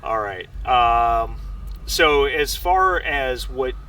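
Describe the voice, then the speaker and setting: A man's voice: mid-range voice, lively intonation, drawn-out words, sharp tone, low-quality recording. A man working as game master, narrating a tabletop role-playing game in a conversational, somewhat hesitant way.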